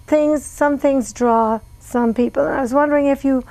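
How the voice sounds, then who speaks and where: A woman's voice: high-pitched, fairly even intonation, moderate pace, a little mellow, no echo, rough recording. A woman hosting a community interview show, asking friendly, encouraging questions. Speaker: interview host. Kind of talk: casual interview.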